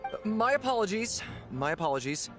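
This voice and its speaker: An actor playing a polite, flustered innkeeper in an anime game, formal and deferential. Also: male voice, high-pitched, big pitch swings, a little fast, over loud music. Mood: apologetic. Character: polite, flustered innkeeper placating rowdy guests.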